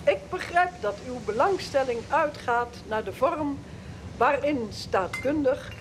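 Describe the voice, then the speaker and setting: A woman's voice: high-pitched, expressive, measured pace, noisy background. A woman playing an aged, stubborn queen in a radio drama, stately and commanding. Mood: formal address. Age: older.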